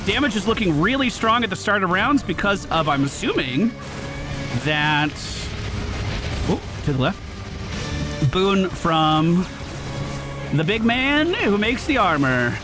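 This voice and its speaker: A young man commentating his own video game run, excitable and quick to hype big moments. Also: sits high. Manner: excitable, shouts and hypes when things go well. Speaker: gaming streamer. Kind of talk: let's play commentary. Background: game music.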